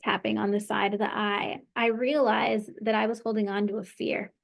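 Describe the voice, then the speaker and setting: A woman coaching a client through tapping, speaking in an encouraging tone and calling out phrases for her to say back. Her voice carries a noticeable gravelly fry. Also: mid-range voice, level tone, normal speed. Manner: encouraging, leads phrases for repeating. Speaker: tapping practitioner. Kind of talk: guided tapping session.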